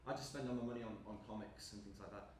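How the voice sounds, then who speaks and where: A man's voice: on the low side, steady tone, racing, natural voice. A young man acting on stage as an awkward, eager, lonely gamer, rambling and apologising nervously. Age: young adult. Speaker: actor in a stage play. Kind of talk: live stage acting, naturalistic dialogue. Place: indoor stage performance space. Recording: echoey room from far off, background noise.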